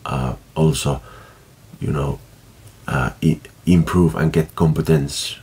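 A man in his thirties with a Finnish accent, talking casually to camera at home in halting speech full of fillers and restarts. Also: a bass voice, fairly even intonation, darkish tone, husky. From Finland. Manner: halting, many fillers and restarts, gestures with hands. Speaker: vlogger. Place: small room at home with bookshelf, indoors.

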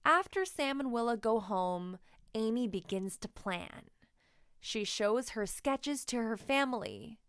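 A woman reading a children's story aloud expressively, with deliberate, emphatic phrasing. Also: on the high side, big pitch swings, clean tone, no room sound, clean studio sound. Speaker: children's book narrator.

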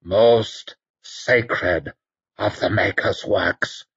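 A man voicing a wounded male zealot with a croaking voice, fervent and pleading. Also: fairly low pitch, drawn-out words, a bit bright, lo-fi sound, heavily acted. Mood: reverent. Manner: urgent, pleading.

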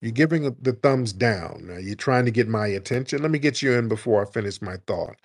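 A man's voice: lowish voice, animated, brisk, gravelly. A man hosting a call-in commentary show, combative and opinionated, firing rhetorical questions and acting out quoted voices. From United States. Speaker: livestream show host.

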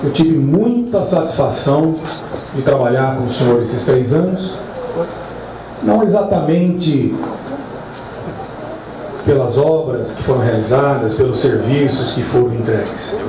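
A man from Brazil gives a formal farewell speech as outgoing health secretary, measured, with slow, stretched phrases. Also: medium pitch, animated. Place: large crowded hall, indoors. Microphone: far camera in the crowd. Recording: echoey hall heard from far off, noisy crowd.

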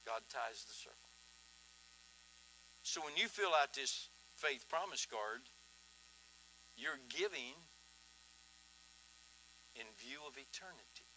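An older man preaching a folksy sermon on a church stage, swinging from quiet asides to loud emphasis. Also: mid-range voice, expressive, slow pace, pauses often, slight background noise, poor audio. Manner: folksy, swings from soft to loud, asks "huh?".

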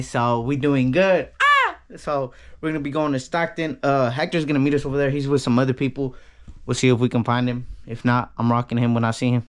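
A young man talking slangy and casual as he vlogs, filming himself on a handheld camera in his room, a car and a packed concert hall. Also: mid-range voice. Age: young adult. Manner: slangy, hyped, laughing with friends. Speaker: vlogger. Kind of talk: casual vlog chat. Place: car, then loud indoor concert hall. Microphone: handheld action camera. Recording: loud concert music and crowd noise. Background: loud live music and crowd.

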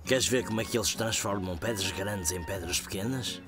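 A man's voice: on the low side, fairly quick, loud music behind the voice, lightly acted. An actor playing a kind, playful elderly grandfather in a cartoon dub, with a European Portuguese accent. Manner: gentle, encouraging.

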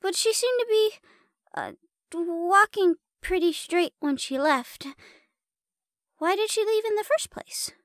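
A timid, excitable young spider girl for an audio roleplay. Her voice is extremely high, and she stammers in a flustered, naive way. The recording is dry and close. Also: slow, long pauses, no room sound, heavily acted. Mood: nervous.

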